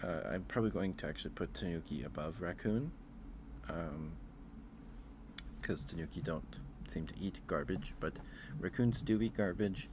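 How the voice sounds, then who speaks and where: A man streaming casual ASMR chat, talking haltingly, often repeating words and trailing off. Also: deep voice, background noise. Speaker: streamer hosting a ranking.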